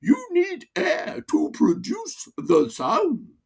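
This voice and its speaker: A woman's voice: very high-pitched, wildly swooping pitch, drawn-out words, vocal fry, darkish tone, voice pushed up, exaggerated voice. A woman voicing a pompous, posh-sounding male hospital consultant, lecturing in an exaggerated way.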